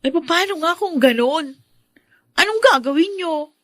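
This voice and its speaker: High-voiced worried young daughter. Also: female voice, lively intonation, unhurried, a bit bright, dry recording, studio quality, exaggerated voice. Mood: pressing, upset. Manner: questioning, pressing. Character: worried, pleading young daughter challenging her father. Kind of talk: Filipino radio drama acting.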